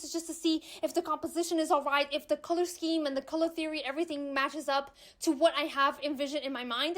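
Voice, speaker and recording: A young woman with a high voice talks to camera in a relaxed, candid way. Portuguese is her first language, and she leans on fillers and emphatic asides as she speaks. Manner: chatty, candid, emphatic with frequent "you know" fillers. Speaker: vlogger, aspiring author. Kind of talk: casual chat to camera.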